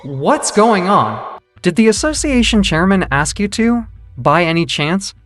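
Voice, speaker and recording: A man playing a calm, analytical young hunter who leads a guild in a manga dub, probing and deadpan. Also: high-pitched, measured pace, dry recording, clean studio sound, faint music behind, exaggerated voice. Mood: puzzled, suspicious.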